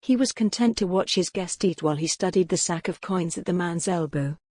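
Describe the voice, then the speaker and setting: A woman's voice: fairly low pitch, fairly quick, darkish tone, no room sound, studio quality. A woman reading a scripted work of fiction as an audiobook narrator.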